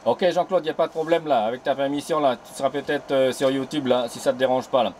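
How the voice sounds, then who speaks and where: A man's voice: medium pitch, steady tone, quick pace, darkish tone, a little hiss or hum. A man on an island chatting over amateur radio, friendly, tagging "là" after nearly every phrase. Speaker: radio amateur filming the contact. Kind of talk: casual radio contact chat. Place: home radio station on an island. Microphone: old handheld mic on a transceiver.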